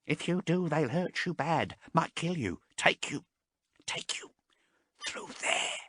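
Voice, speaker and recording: A timid little abhuman man in an audiobook, as the male narrator puts him on, pitched high and kept hushed. He pleads in a fearful, resigned way, with bright, clean tone and dry sound. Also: expressive, unhurried, no room sound.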